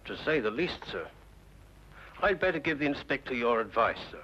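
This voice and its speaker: A deferential police sergeant in a vintage radio mystery. His voice is forceful and lively, formal in delivery, on a dull recording with faint noise. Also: medium pitch, moderate pace. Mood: dutiful, respectful. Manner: respectful, formal, reporting. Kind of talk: old-time radio drama acting.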